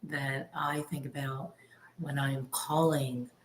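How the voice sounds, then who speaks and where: A woman with a notably low voice hosts a live question and answer session. She puts questions to her guest and thinks aloud as she talks, in halting phrases. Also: flat delivery, a crowd in the background, rough recording. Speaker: host. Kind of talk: live Q&A interviewing.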